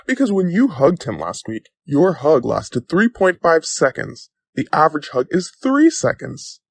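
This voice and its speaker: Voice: mid-range voice, wildly swooping pitch, unhurried, gravelly, husky, no room sound, exaggerated voice. An actor playing a smug intrusive thought personified as a gaslighting, taunting talk-show host, with theatrical TV patter. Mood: insinuating.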